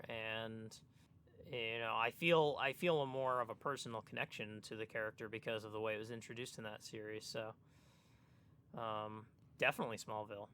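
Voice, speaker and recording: A man's voice: mid-range voice, pushed and bright, clean tone. A man co-hosting a casual chat, thinking aloud and hesitating as he works out his answers. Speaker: podcast co-host.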